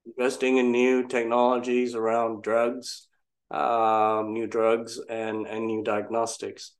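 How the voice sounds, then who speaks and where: A man's voice: mid-range voice, completely flat delivery, slow pace. An older man from Malaysia, a guest entrepreneur telling his story in a casual interview, reflective and self-deprecating.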